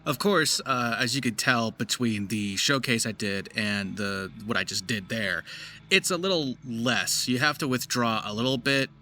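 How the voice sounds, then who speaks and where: A man's voice: mid-range voice, normal speed, pushed and bright. A man speaking as a voice actor, giving casual explanatory commentary on his craft. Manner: slips into gritty character demonstrations. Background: music behind the voice.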